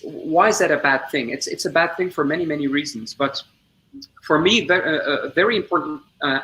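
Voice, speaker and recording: Man in his 40s from the Netherlands, bright, forward voice, earnest webinar guest on a video call. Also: a little high, slight background noise, rough recording. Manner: earnest, explanatory, builds points step by step. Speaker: guest, social entrepreneur. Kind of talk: webinar storytelling and explanation.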